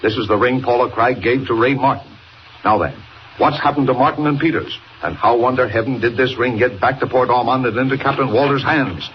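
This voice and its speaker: A man's voice: medium pitch, brisk, lightly acted. Man playing a brusque, insistent newspaper boss. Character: gruff, urgent, bossy male newspaper boss. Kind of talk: 1940s radio acting. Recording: muffled 1940 radio recording, some noise.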